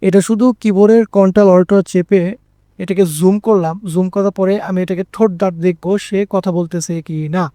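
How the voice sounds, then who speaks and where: High-pitched, mellow Bangladeshi male tutor. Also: level tone, brisk, dry recording, clean studio sound. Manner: repeats phrases for emphasis, checks in with listeners. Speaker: animation tutorial presenter. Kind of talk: informal screen-recorded software tutorial.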